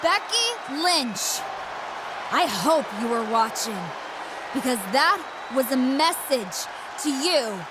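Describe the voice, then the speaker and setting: A haughty, boastful woman champion in a wrestling game. Her high voice swoops widely as she showboats through a cocky promo. Noise is clearly audible behind her. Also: lively intonation, slow pace.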